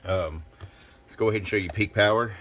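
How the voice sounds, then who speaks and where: A man's voice: lowish voice, brisk, fairly bright tone, a little hiss or hum. A man giving a casual, plain-spoken hands-on demonstration as a radio technician.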